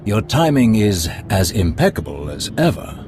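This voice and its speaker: An actor playing a smooth, scheming male Sith lord who speaks in a courtly, ingratiating, measured way. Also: on the low side, sing-song. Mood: suave. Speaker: Imperial schemer. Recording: game cutscene over loud music.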